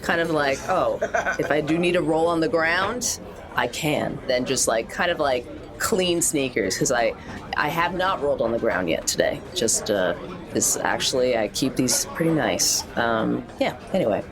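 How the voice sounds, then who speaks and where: A woman's voice: a bass voice, animated, normal speed, a crowd in the background. A woman playing a tabletop game, talking casually in a rambling, jokey, slightly hesitant way. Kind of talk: casual in-character and descriptive game chat.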